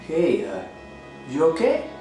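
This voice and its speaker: A man's voice: a little high, wildly swooping pitch, slow, exaggerated voice. An actor playing a needy, apologetic male houseguest in a radio thriller, hesitant and eager to please, with theatrical flourishes. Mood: placating. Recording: very echoey room, music underneath.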